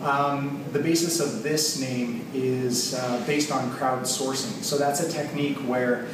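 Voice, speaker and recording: A man's voice: mid-range voice, fairly even intonation, unhurried. Echoey, distant Canadian man presenting informally. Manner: conversational, explanatory, thinking aloud. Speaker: software developer presenting a product. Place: large open office loft, indoors. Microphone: camera mic a few metres away. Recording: echoey open room, distant camera mic, noisy. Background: clear background room noise.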